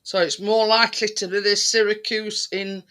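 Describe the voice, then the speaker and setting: Bright, forceful man, higher-pitched. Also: flat delivery. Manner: rambling, halting, searching for words. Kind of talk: casual chat.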